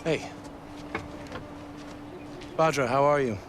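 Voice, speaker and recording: A man's voice: mid-range voice, slow pace, a little hiss or hum, lightly acted. A man playing a polite, hesitant young newcomer in a video game, speaking in an understated, tentative way. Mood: polite, unsure. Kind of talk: video game cutscene acting. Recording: loud music behind.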